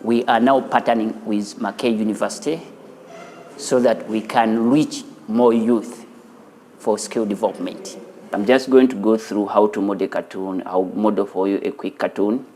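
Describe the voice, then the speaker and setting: A man, a studio founder and teacher, talking in an earnest, unhurried way with small restarts in a documentary interview. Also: on the low side, fairly even intonation, a little hiss or hum. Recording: interview over loud background music.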